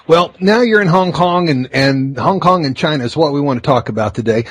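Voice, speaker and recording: A man's voice: on the high side, expressive, a little fast, no room sound. Guy hosting a podcast, friendly, conversational, dead dry sound. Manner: friendly, conversational, scripted intro. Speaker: podcast host. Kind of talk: interview hosting.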